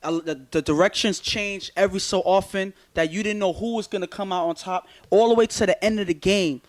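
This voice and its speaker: A man's voice: high voice, fairly quick. A man, co-hosting a sports talk show and earnestly analyzing football, sometimes repeating words as he builds a point.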